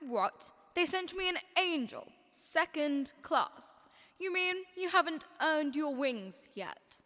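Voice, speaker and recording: A woman's voice: sits high, sing-song, takes it slowly, pushed and bright, full character voice. A young woman playing an authoritative, exasperated superintendent of angels in a radio drama, emphatic and instructive. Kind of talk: stage-like radio drama acting. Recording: long hall-like reverb effect.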